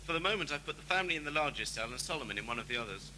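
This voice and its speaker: A man's voice: fairly high pitch, animated, rapid-fire, close to an everyday voice. A man playing a dutiful, anxious guard in an audio drama, with a clipped, deferential manner. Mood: dutiful, concerned. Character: dutiful, anxious male guard reporting to superior. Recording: very noisy cassette with hum.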